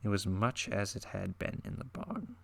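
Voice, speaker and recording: A man giving a dramatic reading of a classic horror story, swinging his loudness and pace for suspense and now and then stumbling and correcting himself. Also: on the low side, sing-song, moderate pace, vocal fry. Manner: occasional stumbles and self-corrections. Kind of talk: dramatic read-through of classic horror fiction.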